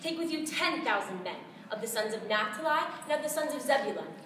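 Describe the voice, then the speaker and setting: Woman reciting as a commanding prophetess, high and echoey. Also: animated, brisk. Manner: declamatory, forceful proclamation. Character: commanding ancient prophetess and judge. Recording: roomy, distant mic, faint noise.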